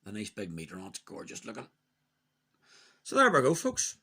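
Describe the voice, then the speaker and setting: A man giving a casual, chatty, opinionated hands-on radio hobby review, muttering to himself at times, in a husky voice. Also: on the low side, wildly swooping pitch, normal speed, sharp tone. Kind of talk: casual hands-on product demonstration.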